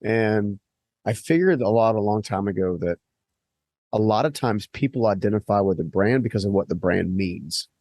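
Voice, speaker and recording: A man with a low, gravelly and husky voice hosts a studio podcast interview. He talks earnestly and conversationally, piling his ideas into long lists full of fillers. The sound is close and dry. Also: expressive, moderate pace, darkish tone, no echo. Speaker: podcast host. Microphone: studio microphone.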